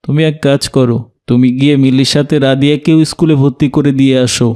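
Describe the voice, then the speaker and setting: A man voicing a kind, concerned family father in a moral cartoon, sober and gentle. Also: medium pitch, fairly even intonation, fast talker, lightly acted. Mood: calm, caring. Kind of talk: Bengali moral cartoon voice acting.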